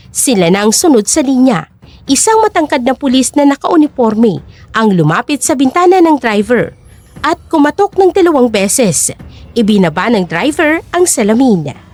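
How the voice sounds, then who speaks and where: Woman, story narrator, high-pitched, animated intonation, dramatic scripted narration. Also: no echo.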